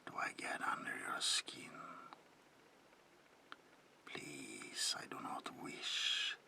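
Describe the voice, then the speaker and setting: A man playing a predatory, courtly vampire baron in a whispered ASMR roleplay, slow and theatrical, with a breathy gravelly whisper and softly menacing politeness.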